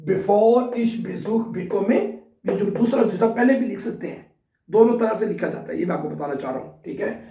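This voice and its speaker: A man's voice: sits high, mellow. A man with a sore throat teaching a language lesson in a casual way, repeating example sentences word by word. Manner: slow and repetitive, spelling out example sentences word by word. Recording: distant, roomy, dull sound.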